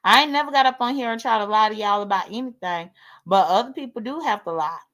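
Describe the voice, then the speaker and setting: American lady late 30s, kinda high voice, sassy livestream chat, super blunt, cusses a lot. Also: fast, a bit bright. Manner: blunt, sassy, swears freely. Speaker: livestream host. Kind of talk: casual livestream chat, airing grievances.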